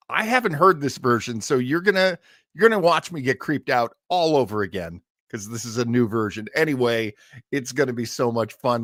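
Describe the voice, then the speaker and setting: A man's voice: mid-range voice, a bit bright, no room sound, clean studio sound. A man hosting an old-time radio show, chatting casually and enthusiastically as he introduces a story in a rambling way.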